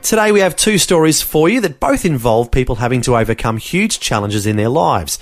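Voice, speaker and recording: A man's voice: medium pitch, expressive, fairly quick, no echo, studio quality. A man hosting a show and reading a scripted introduction, in an announcer-like way. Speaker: host.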